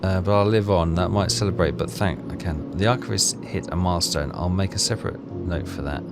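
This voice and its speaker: Guy doing casual game commentary, low voice, loud music behind him. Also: fairly quick. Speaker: game playthrough host.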